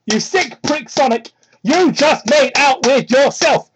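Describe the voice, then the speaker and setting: A woman's voice: animated, slow pace. A woman giving a comedic dramatic reading of fan fiction with exasperated, sweary asides, recorded on a crude microphone. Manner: exasperated, sweary, heckles the text. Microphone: cheap home microphone. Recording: crude, low-quality microphone.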